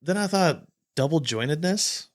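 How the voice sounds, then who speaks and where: A man's voice: on the high side, lively intonation, moderate pace. A man hosting a podcast in casual, joking group banter. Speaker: podcast host.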